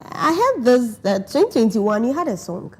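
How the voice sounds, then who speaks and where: A woman hosting a morning show, asking chatty questions with many quick "okay"s. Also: fairly high pitch, big pitch swings, darkish tone, husky. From Ghana. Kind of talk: casual interview.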